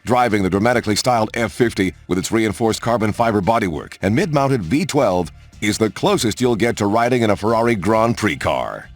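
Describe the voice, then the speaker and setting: Lively male car showcase narrator over music. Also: fairly low pitch, fairly quick. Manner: polished, emphatic announcer delivery. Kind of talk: scripted promotional car narration. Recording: music under the voice. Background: background music.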